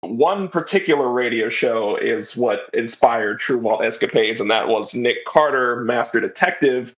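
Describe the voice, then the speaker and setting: A man speaks in a medium-pitched voice with a casual, conversational delivery. He explains at length, wandering enthusiastically from point to point as an interview guest. The recording is a little dull and lo-fi. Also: unhurried. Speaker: interview guest, writer of an audio drama.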